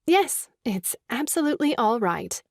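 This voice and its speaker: Dutiful young wife for stage farce: primly agreeable, fairly high voice, dry recording. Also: female voice, very animated, a little slow, no echo. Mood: nervously polite. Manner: polite, formal, primly agreeable. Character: dutiful young wife, sweetly backing her husband's bluff. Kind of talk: comic stage farce acting.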